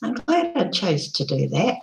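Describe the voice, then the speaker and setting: A woman hosting a casual live broadcast, chatting loosely with many ums and restarts, her voice croaky and hoarse. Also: mid-range voice, sing-song, unhurried, echoey room, noisy background. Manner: rambling, lots of ums and restarts. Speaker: live-stream host. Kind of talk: casual live-stream chat.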